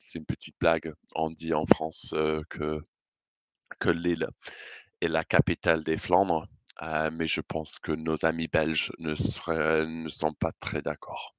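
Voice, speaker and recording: A British man with a low, deep voice delivers a prepared presentation. His British accent shows, and his phrasing is measured and hesitant. The sound is dry and close, with little room around it. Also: monotone, no room sound. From Britain. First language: English. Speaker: conference speaker.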